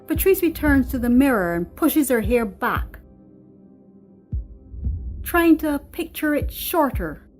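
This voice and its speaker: A woman's voice: high voice, big pitch swings, takes it slowly, pauses often, soft background music. A woman reading a screenplay aloud as narrator, delivering scene headings and action in scripted narration.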